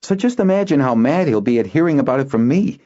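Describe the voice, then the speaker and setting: A man's voice: medium pitch, expressive, quick pace, dark tone, lo-fi sound, pitched above the performer's own voice, close to an everyday voice. A man doing a sulky, scheming young boy. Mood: grumbling. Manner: sulky, snappish, sly.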